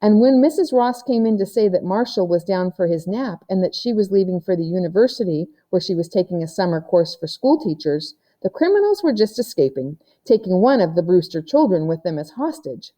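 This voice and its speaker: A woman's voice: medium pitch, very animated, fairly quick, few pauses, warm tone. A woman narrating a children's novel as a read-aloud storyteller. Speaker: audiobook reader.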